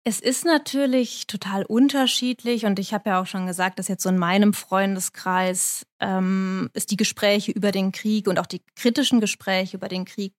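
A woman speaking a language that is not her first, answering interview questions in a measured, explanatory way with frequent hesitations. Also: medium pitch, steady tone. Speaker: correspondent in Moscow.

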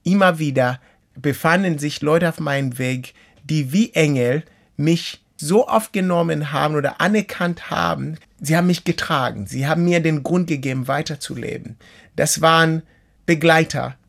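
A middle-aged man from Kenya, a guest in an interview, telling his life story in an earnest, measured and reflective way. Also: a little high, vocal fry, fairly bright tone. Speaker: interview guest, author and teacher.

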